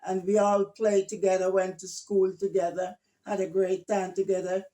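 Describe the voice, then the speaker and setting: An older woman from Jamaica, telling her life story as a guest in a casual family interview, gentle and hesitant, often repeating her words. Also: medium pitch, level tone, normal speed.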